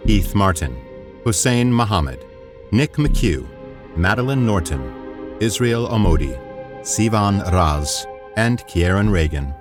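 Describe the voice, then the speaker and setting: A man reading the closing credits of an audio drama in a steady, formal way. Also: on the low side, takes it slowly. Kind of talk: scripted credits narration. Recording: theme music underneath.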